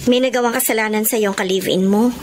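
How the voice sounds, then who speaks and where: An actress playing a concerned shopkeeper friend who asks gentle, probing questions in a radio drama. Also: female voice, a little high, fairly even intonation, fast, background noise, lightly acted.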